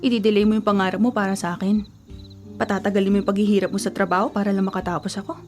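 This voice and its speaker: A woman playing a grieving young college student and devoted girlfriend in a Filipino radio drama, speaking softly and emotionally, at times in whispers. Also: mid-range voice, flat delivery, racing, soft background music, lightly acted. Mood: worried. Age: young adult. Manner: soft, emotional, breaking into whispers.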